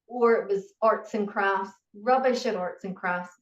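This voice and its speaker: A woman's voice: mid-range voice, unhurried. A middle-aged woman with a Northern Irish accent, a guest pharmacist chatting in an interview, self-deprecating and full of fillers. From Northern Ireland. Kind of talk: conversational interview answers. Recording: roomy, distant, dull-sounding remote audio.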